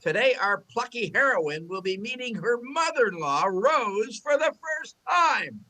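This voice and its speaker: A man's voice: very high voice, very animated, unhurried, pushed and bright, full character voice, poor audio. Man playing a theatrical, swooping old-time radio announcer. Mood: grand, dramatic. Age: older. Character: plucky, dramatic announcer of old radio soap opera. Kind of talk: 1940s radio soap opera narration.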